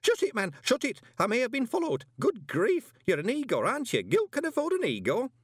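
A man voicing a panicky, tipsy, self-important gentleman in an audiobook, flustered and bossy. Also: extremely high pitch, wildly swooping pitch, a little fast, husky, dry recording, studio quality, voice pushed up, exaggerated voice. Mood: panicked.

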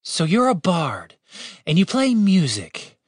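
A man's voice: high voice, wildly swooping pitch, slow, no echo, clean studio sound, voice pushed up, heavily acted. A man narrator voicing a bewildered, indignant young woman in a fantasy audiobook, argumentative and exasperated. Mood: puzzled.

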